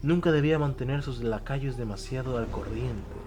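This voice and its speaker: A man's voice: medium pitch, normal speed, background noise. A man reading scripted fiction as a dramatic audiobook narrator. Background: prominent music.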